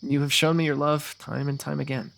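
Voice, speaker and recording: A man playing a lovestruck, eloquent fantasy royal in a romantic ASMR roleplay, talking intimately and poetically with gentle teasing. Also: on the high side, flat delivery, brisk, creaky. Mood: tender, earnest. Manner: intimate, poetic, talkative, softly amused.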